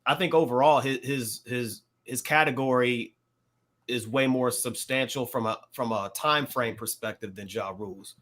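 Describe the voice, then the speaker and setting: A man's voice: medium pitch, moderate pace, a bit bright. An American man giving casual hip-hop commentary as a panelist, explanatory and slangy. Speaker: podcast panelist.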